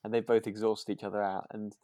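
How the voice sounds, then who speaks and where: A British man co-hosting a podcast in relaxed, casual chat, musing and often correcting himself as he goes. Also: on the low side, quick pace, no echo, clean studio sound. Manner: hesitant, musing, self-correcting. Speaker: podcast co-host. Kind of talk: relaxed casual chat about a radio drama.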